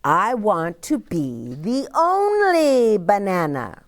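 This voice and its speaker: A woman's voice: medium pitch, wildly swooping pitch, takes it slowly, no echo, clean studio sound, voice pushed up. Storybook child, played by a woman: disappointed, sulky, emphatic grumbling, dragging pace. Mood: indignant, glum. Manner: glum, emphatic complaining. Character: sulky, disappointed child stuck with a minor role.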